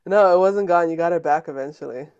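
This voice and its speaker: A woman's voice: fairly low pitch, fast, a little mellow, highs cut off. A young woman co-hosting a casual podcast, chatting playfully and teasing her brother with frequent giggles. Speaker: podcast co-host. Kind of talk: casual chat.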